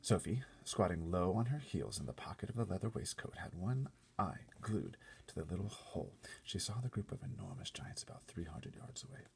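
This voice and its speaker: A man with a gravelly, husky voice reading a storybook aloud expressively, with casual asides. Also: low voice, very animated, moderate pace, a little hiss or hum. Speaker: storybook reader.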